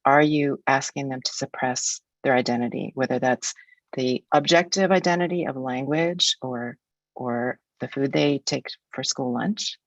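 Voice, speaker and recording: A woman's voice: extremely low pitch, dry recording, lo-fi sound. A woman in her sixties from the United States, a guest speaker in an academic webinar, talking in a frank, reflective way with some restarts.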